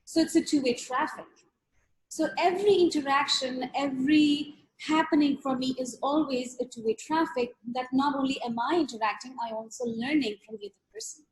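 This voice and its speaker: Woman, from Pakistan, high voice, earnest, repeats words, video call. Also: a little fast, poor audio. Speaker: artist. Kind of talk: reflective interview talk.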